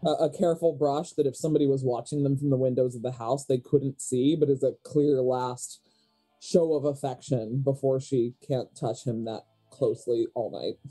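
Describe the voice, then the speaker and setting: A woman's voice: very deep voice, fairly even intonation, moderate pace, dark tone, soft background music, rough recording. A woman playing a tabletop roleplay game, chatting casually and improvising narration for her character.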